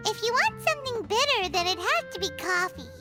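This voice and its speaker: A woman voicing a small, chirpy childlike fairy companion in a video game, chatty and exclamatory with a squeaky voice. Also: sing-song, a little fast, pushed and bright, heavily acted. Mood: explaining. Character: small excitable chirpy female fairy companion, childlike, speaks in third person. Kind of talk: video game cutscene acting. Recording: music behind the voice.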